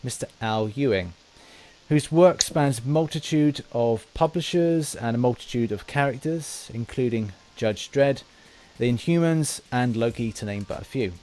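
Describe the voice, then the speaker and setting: An Englishman hosting a casual podcast interview for a gaming channel, with halting, rambling explanations. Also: mid-range voice, warm tone. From England.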